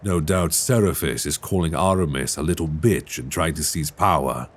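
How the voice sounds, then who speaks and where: A man playing an ancient dragon king in an intimate ASMR roleplay, tender and wry, with courtly, teasing phrasing and a husky voice. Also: rumbling low, normal speed. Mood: wry, calm. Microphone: close binaural microphone.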